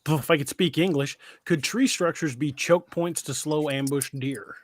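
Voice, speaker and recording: A man's voice: fairly high pitch, normal speed. A man chatting casually as a podcast co-host, joking and sometimes stumbling over his words. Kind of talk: casual conversational discussion.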